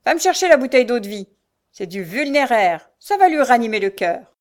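Woman putting on a vicious old beggar hag, shrill and loud. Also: expressive, moderate pace. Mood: barking orders. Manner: coarse working-class speech, sneering and scolding. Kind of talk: dramatic audiobook character voice.

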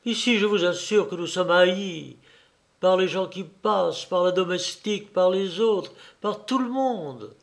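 A tormented, frightened young man in a literary story, acted by a male narrator. He pleads with his mother in agitation, his voice fairly high and his delivery slow. The tone is dramatic and desperate. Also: creaky. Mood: bitter dread.

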